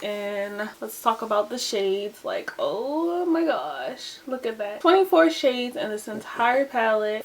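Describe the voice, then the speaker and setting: Chatty young woman, groggy dry voice. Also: on the high side. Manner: chatty, uses lots of "like" and "um". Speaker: beauty vlogger. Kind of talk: casual makeup tutorial chat. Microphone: camera close up.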